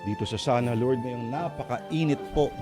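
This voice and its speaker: A man, hosting and narrating a radio drama in a theatrical, deliberate storytelling style. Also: medium pitch. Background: music.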